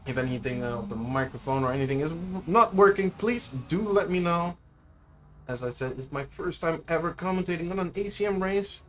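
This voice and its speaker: A man's voice: fairly high pitch, expressive, a little fast, slight background noise. Chatty Dutch-accented man commentating a race. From Netherlands. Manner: chatty, self-correcting, unsure of name pronunciations. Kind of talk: live sim racing commentary.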